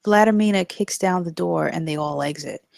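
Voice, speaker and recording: A woman's voice: on the low side, normal speed, gravelly, a little mellow, no echo, studio quality. A woman reading stage directions as narrator in a live scripted table read.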